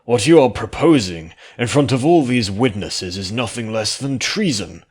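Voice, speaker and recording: Storybook elder played by a man: old unicorn aristocrat, shrewd, wary, admonishing, low register. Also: expressive, darkish tone, slightly rough. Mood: stern, wary. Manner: admonishing, deliberate. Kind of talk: dramatic fan fiction reading.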